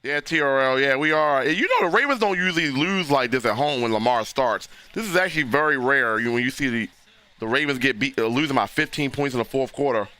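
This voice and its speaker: A man, hoarse and losing his voice, hosting a casual live football reaction stream and talking loosely with frequent restarts. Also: medium pitch, a little fast, bright, forceful tone, no echo. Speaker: livestream host reacting to a football game.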